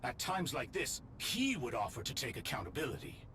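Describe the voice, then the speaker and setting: An actor playing a wry, mocking saint on a royal council in a video game, lofty and sardonic. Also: male voice, mid-range voice, big pitch swings, normal speed, background noise, close to an everyday voice. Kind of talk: theatrical video game acting. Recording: clear game sound behind voice.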